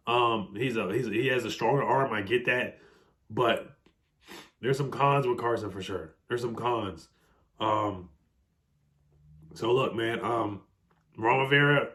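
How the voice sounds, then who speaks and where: A man with a cold and a raspy, hoarse voice, giving casual fan commentary on his team in a video, with frequent ums and the odd throat clear. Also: medium pitch, fairly bright tone. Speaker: sports video host.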